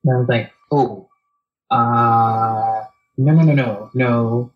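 A soft-spoken man, talking reflectively as an interview guest, hesitant with many fillers and restarts. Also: medium pitch, steady tone, slow pace, muffled recording, faint music behind.

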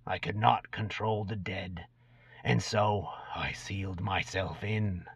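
A man's voice: low voice, fairly even intonation, takes it slowly, breathy edge, heavily acted. A man voicing an ancient, gaunt, cursed goblin, a brooding and unrepentant former killer, in a dramatic reading of a fantasy tale. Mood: grim, boastful. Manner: brooding storyteller recounting dark deeds. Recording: dull lo-fi recording in echoey room.

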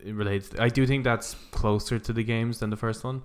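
A man's voice: mid-range voice, fairly even intonation, brisk, clear, resonant tone. A man co-hosting a podcast, chatting casually and giving his take in an informal discussion. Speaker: podcast co-host. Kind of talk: casual film discussion chat.

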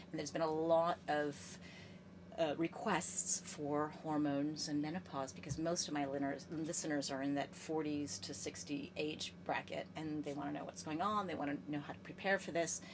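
A woman's voice: deep voice, steady tone, moderate pace, background noise. An American woman in her fifties, a guest chatting enthusiastically in a podcast interview, often restarting her phrases. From United States. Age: 50s. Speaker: guest, gerontologist.

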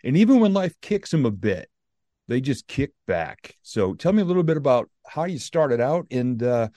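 An American man hosts a podcast interview with enthusiastic, chatty warmth in his delivery. His voice sits at a middle pitch. The sound is very dry and close, with no room echo. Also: very animated, normal speed. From United States. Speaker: podcast host. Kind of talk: upbeat interview hosting.

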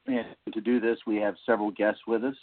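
A man hosting a podcast with casual, somewhat halting introductions over an online voice call. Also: on the low side, flat delivery. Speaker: podcast host. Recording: muffled, phone-like voice-call audio.

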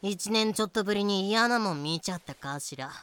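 A woman's voice: medium pitch, expressive, a little fast, a bit bright, clear, resonant tone, lightly acted. A woman playing a haughty, flippant, hard-drinking young daughter who captains a ship in an anime-style voice drama. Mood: disgusted, sulky.

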